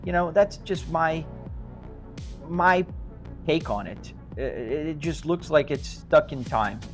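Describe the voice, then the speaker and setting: Man, Brazilian accent, fairly high voice, casual stream chat, rambling, music in the background. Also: lively intonation, slow pace. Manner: easygoing, rambling, restarts and hedges. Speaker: sim racing live streamer. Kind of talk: casual chat answering live chat. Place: home sim racing rig. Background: music playing.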